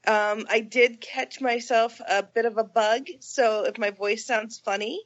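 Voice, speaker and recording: A woman's voice: a little high, steady tone, a little slow, highs cut off. A woman co-hosting a podcast in casual chat, her nose stuffy from a cold, talking in a chatty, self-deprecating way with laughs. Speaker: podcast co-host.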